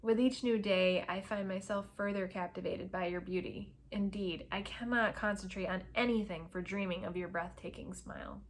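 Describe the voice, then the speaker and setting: Lovestruck period gentleman for storybook romance, played by a woman. Earnest, tender, formally devoted. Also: mid-range voice, fairly even intonation, normal speed, few pauses, a little hiss or hum, pitched below the performer's own voice, lightly acted. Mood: adoring, pleading. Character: lovestruck earnest gentleman suitor proposing marriage.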